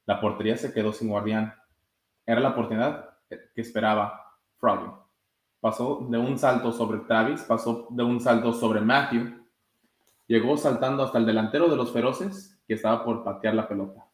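A young man with an average-pitched voice reads a children's book aloud. He raises his voice for the characters' exclamations. The recording has noticeable room echo. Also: steady tone, normal speed. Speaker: soccer goalkeeper reading a children's story. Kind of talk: read-aloud storytime. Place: indoor room with blinds, sitting. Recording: roomy echo.

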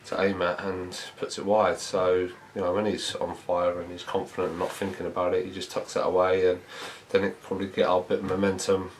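A man, a football manager giving a measured post-match interview, thinking aloud with many fillers. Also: deep voice, completely flat delivery, a little hiss or hum. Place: small indoor press room before a sponsor board.

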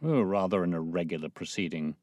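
A man's voice: low-pitched, expressive, fairly quick, a little mellow, dry recording, clean studio sound. A man voicing a bluff, hearty, self-important police officer with an emphatic manner, in an audiobook. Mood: grudging.

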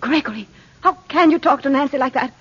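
A woman's voice: high voice, fairly quick, background noise, natural voice. An actress playing a meek, anxious wife who doubts her own mind, hesitant and pleading in an old radio drama. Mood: hurt, protesting. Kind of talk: 1940s radio drama acting. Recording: muffled old radio broadcast with music behind.

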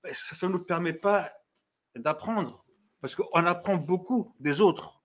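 A man's voice: high voice, slow pace. A man, a writer on a panel, speaking earnestly with fumbling starts before an audience. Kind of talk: panel discussion. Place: café venue with audience.

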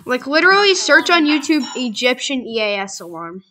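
A young woman playing a block-building game with a friend, chatting casually, excitable and insistent, dropping to murmurs while she plays. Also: high-pitched, a little fast, faint music behind. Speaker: gamer. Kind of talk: casual let's play chat.

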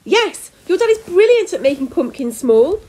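A competitive storybook mum, played by a woman reader, squeaky and raised high. She is stubborn and very animated in her speech. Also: measured pace, slight background noise. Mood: pleading.

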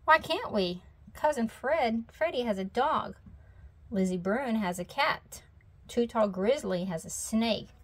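A woman's voice: a little high, lively intonation, slow. Storybook boy bear cub, read by a woman: eager, keen, persuasive.

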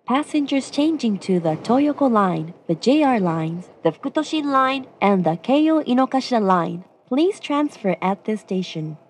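A woman delivering scripted train announcements with formal, courteous phrasing. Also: a little high.

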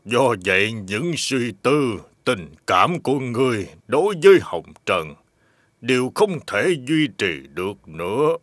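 Slow-spoken, musing immortal elder. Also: male voice, medium pitch, very animated, a bit bright, no room sound. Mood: solemn. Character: unhurried, philosophical male immortal elder of the fairy realm. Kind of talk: animated fairy-tale voice acting.

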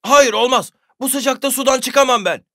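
A woman's voice: high-pitched, moderate pace, sharp tone. A woman voicing a lazy, carefree farm duck in a children's cartoon. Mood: unwilling. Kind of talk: children's cartoon fairy-tale acting.

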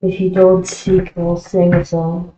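A woman's voice: on the low side, monotone, slow pace, mellow, slight background noise. A woman gamer chatting casually over in-game voice chat in a plaintive, pestering manner. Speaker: online game player. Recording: phone-like, echoey game voice chat.

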